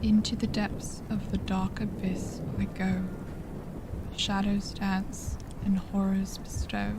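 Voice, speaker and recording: A woman's voice: medium pitch, level tone, slow pace, noisy background. A woman playing a poetic, devoted fey adventurer with a dramatic, lilting delivery in a fantasy horror audio drama. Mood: grim, poetic.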